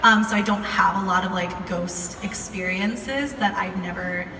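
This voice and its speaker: A woman's voice: mid-range voice, steady tone, measured pace. Young American woman, casual live storyteller, chatty and informal, distant mic in a reverberant room with some noise. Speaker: video creator telling a story to a live audience.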